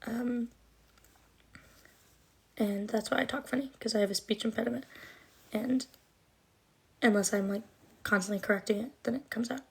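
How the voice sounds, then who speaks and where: A woman's voice: fairly high pitch, fairly even intonation, moderate pace, creaky, long pauses, slightly rough, poor audio. A woman in her twenties chatting on a livestream, rambling with lots of fillers, with a slight lisp and trouble with her R sounds. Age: 20s. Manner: rambling and chatty, many likes and ums. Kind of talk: casual livestream chat answering comments.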